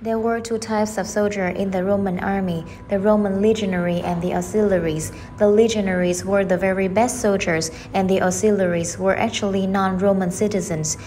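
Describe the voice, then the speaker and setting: A woman's voice: medium pitch, fairly even intonation, fairly quick, dark tone, a little hiss or hum. A woman reading scripted sample passages aloud in a careful, formal way, like an exam trainer giving a demonstration.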